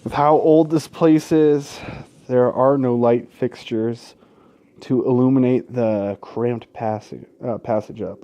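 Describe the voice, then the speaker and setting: A man's voice: mid-range voice, steady tone, slow, warm tone, dull audio. A man hosting a let's play in an informal, sweary way, mixing casual gaming commentary and asides with game text read aloud.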